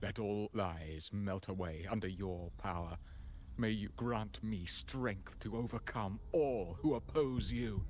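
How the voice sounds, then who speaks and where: An actor playing a fanatical, smug villainous cultist in a video game, declaiming in a grandiose, menacing manner. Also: male voice, on the low side, slow pace, darkish tone, a little hiss or hum. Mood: fervent prayer. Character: fanatical villainous cultist, smug, theatrical, devout to dark god.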